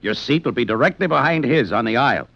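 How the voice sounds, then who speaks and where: Gruff, blustering magazine boss, gravelly. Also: male voice, medium pitch, expressive, quick pace. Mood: stern. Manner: blustering, sardonic. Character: gruff, exasperated magazine boss, sarcastic and domineering. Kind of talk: 1950s radio drama acting. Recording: muffled 1950s radio broadcast.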